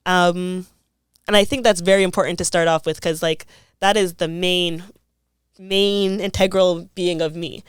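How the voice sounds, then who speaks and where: A woman's voice: fairly low pitch, fairly even intonation, a little slow, smooth, no echo, clean studio sound. A young woman, speaking as an interview guest. She talks in a thoughtful, casual way and often says "like.". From Toronto, Canada. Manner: thoughtful, frequent "like" fillers.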